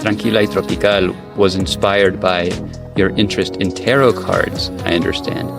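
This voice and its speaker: A male radio host conducts an interview in a fairly low voice. Music plays clearly behind him as he introduces and questions his guest. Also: steady tone, moderate pace.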